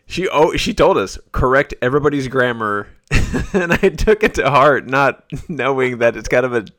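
A man's voice: medium pitch, expressive, normal speed, fairly bright tone. An American man in his forties hosting a podcast in a casual, chatty, reminiscing way. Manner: chatty, reminiscing, chuckles often. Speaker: podcast host. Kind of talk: casual conversational interview.

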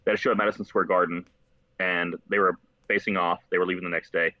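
An American man chatting casually and telling rambling, animated stories as a podcast host. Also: low-pitched, quick pace, no room sound. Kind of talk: casual conversational storytelling. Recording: slightly dull lo-fi, dry close sound.

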